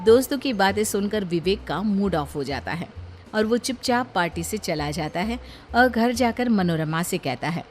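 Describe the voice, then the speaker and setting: कहानी सुनाती महिला, पीछे हल्का संगीत. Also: female voice, mid-range voice, lively intonation, normal speed, soft background music. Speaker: story narrator. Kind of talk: scripted narration of a moral story.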